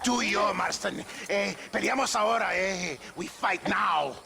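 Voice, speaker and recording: A sadistic Mexican bandit in a western game. The accented voice is high, creaky and leering, taunting with menace. A noisy crowd sounds behind it. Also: male voice, moderate pace. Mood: menacing, gleeful. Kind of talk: video game western acting.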